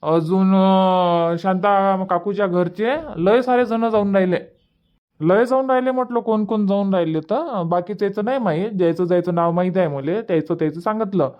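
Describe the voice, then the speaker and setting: Man as cartoon village brother, clear smooth tone, Varhadi Marathi, friendly, chatty, colloquial. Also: high-pitched, moderate pace, lo-fi sound, close to an everyday voice. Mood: friendly, informative. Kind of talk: cartoon comedy dubbing, casual phone chat.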